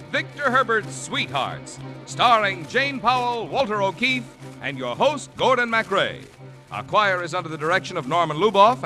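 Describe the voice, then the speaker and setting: Animated high-pitched 1940s radio announcer man. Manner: grand showman-like delivery. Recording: 1940s radio broadcast, music behind. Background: music.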